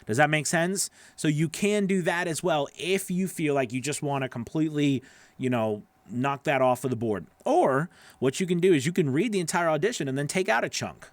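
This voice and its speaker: A man's voice: on the high side, moderate pace, no room sound. Middle-aged man advising, dry close studio sound. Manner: conversational, emphatic, often checks in with listener. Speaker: host, voice-over coach. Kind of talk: casual advice to live viewers' questions. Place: small home studio, indoors. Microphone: broadcast microphone on a boom arm, close.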